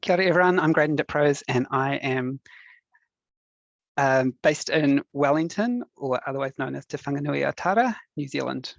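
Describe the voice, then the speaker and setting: A man chatting casually on a video call, relaxed and hesitant with fillers as he introduces himself and presents. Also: mid-range voice, moderate pace, gravelly, no room sound. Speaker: workshop presenter. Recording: dull lo-fi call audio.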